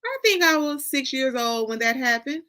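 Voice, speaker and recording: An American woman, an author, reading her first-person novel aloud in an expressive, deliberate, dramatic way. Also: high-pitched. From Detroit, Michigan, United States.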